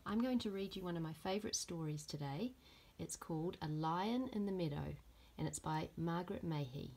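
A woman, a primary school teacher reading a storybook aloud to young children in a simple, expressive way. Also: fairly low pitch, moderate pace, breathy edge.

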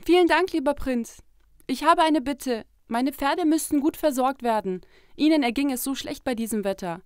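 A woman plays a young cartoon princess with a high voice. She is polite and tender in a courteous storybook way. The sound is dry, without room echo. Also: moderate pace, close to an everyday voice. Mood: grateful, concerned. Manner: courteous, gentle. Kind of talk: fairy tale cartoon dialogue.

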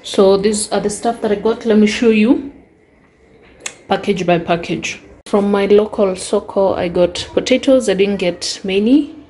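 A young Kenyan woman narrates her haul in a relaxed, chatty voice-over. She takes her time, naming each item one after another. Her voice sits at a middle pitch, and a faint background noise runs beneath it. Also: a little slow. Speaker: vlogger.

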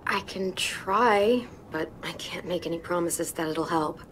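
A woman playing a calm, level-headed teenage girl survivor in a game drama, measured and matter-of-fact. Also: fairly low pitch, a little hiss or hum, natural voice, rough recording. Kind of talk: naturalistic video game drama acting.

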